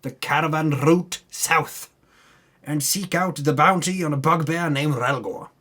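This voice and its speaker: A man's voice: fairly high pitch, unhurried, bright, forceful tone. A man giving a dramatic, tongue-in-cheek reading of a fantasy game recap, breaking off into muttered asides. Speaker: narrator recapping a tabletop game campaign.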